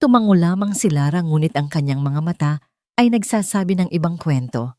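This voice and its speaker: A woman's voice: lowish voice, animated, brisk, dark tone, no room sound, studio quality. A woman reading a scripted dramatic audiobook as the story's narrator.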